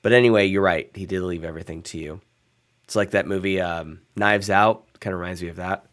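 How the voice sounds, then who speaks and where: A guarded male vampire in a tabletop game who rambles through his explanations, played by a man. The voice is very deep, hesitant and full of restarts. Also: steady tone, brisk, a bit bright. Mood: apologetic.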